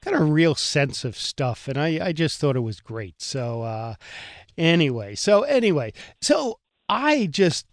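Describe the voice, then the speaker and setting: Chatty, high-voiced middle-aged male host. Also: sing-song, a little slow, no room sound, clean studio sound. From New York. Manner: chatty, enthusiastic, loose and rambling. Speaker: radio talk show host and priest. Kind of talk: casual radio interview.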